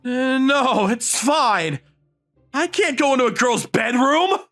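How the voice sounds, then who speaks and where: A man's voice: extremely high pitch, lively intonation, slow, fairly bright tone, echoey room, in a large hall, soft background music, full character voice. A man voicing an easily flustered, incredulous teenage schoolboy in a romantic comedy comic dub. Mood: panicked. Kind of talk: anime-style comic dub, dialogue and inner monologue.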